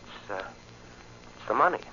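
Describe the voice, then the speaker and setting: An actor playing an earnest, troubled young American farm man, halting and agitated, in old-time radio drama style. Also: sharp tone. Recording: muffled, hissy old radio recording.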